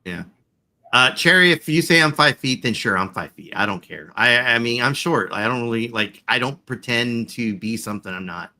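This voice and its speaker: A man's voice: mid-range voice, lively intonation, moderate pace, pushed and bright. A man in his forties chatting casually, rambling with lots of fillers and the odd swear. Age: 40s. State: chronic back pain. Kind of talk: casual chat.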